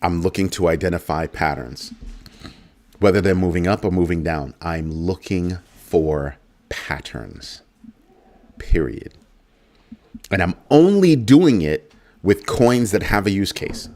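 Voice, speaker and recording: A man with a very low, deep voice hosts a casual crypto livestream. He is middle-aged and talks loosely to his viewers, repeating phrases and tossing in playful asides. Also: lively intonation, measured pace, pauses often, darkish tone. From United States. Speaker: crypto show host.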